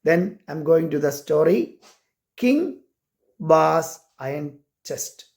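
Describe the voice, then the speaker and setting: Slow, high-voiced Indian-accented man. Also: lively intonation, gravelly, a little mellow. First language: not English. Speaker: amateur short story writer and care worker. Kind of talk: personal introduction and reading aloud of own short story.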